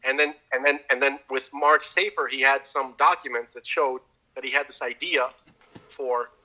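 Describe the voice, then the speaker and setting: A man's voice: medium pitch, fairly even intonation, normal speed, pushed and bright, low-quality recording. A man co-hosting a podcast, telling a long story in casual chat, with many restarts. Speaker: podcast co-host.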